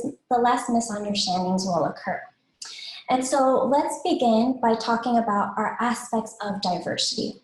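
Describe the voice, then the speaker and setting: A woman with a soft voice presenting a webinar to parents in an informal, explanatory way, posing questions as she goes. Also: fairly high pitch. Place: indoors, online webinar. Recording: roomy, echoey webinar audio.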